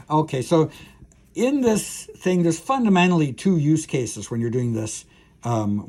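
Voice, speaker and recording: A man gives a technical university lecture in a medium-pitched, somewhat husky voice. He explains step by step in a halting manner, often pausing with filler sounds and restarting as he thinks aloud. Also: darkish tone.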